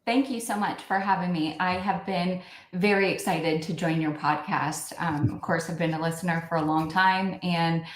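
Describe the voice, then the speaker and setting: A woman's voice: lowish voice, fairly even intonation, moderate pace, hardly any pauses, reverberant. A middle-aged woman giving conversational answers as a podcast guest on a remote call. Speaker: podcast guest, software consulting executive. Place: remote call, animals around.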